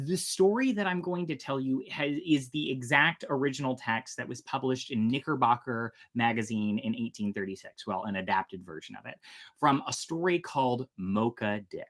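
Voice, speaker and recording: An informal, enthusiastic host who moves between casual chat and dramatic storytelling. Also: mid-range voice. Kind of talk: chatty intro and dramatic reading of an old sea tale.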